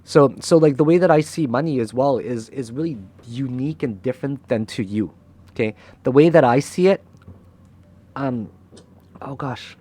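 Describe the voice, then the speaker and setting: A man's voice: mid-range voice, normal speed, warm tone. A young man, a crypto trader and channel host, giving a candid motivational talk to camera, frank and emotional, swearing freely and often tagging "right?".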